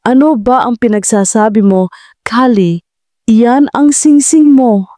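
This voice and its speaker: A woman narrator acts out an evasive woman who keeps her poise under pressure. She sounds defensive and insistent, in a soft-edged mellow voice. It is audio drama with a very dry, close sound. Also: on the high side, slow pace, no echo.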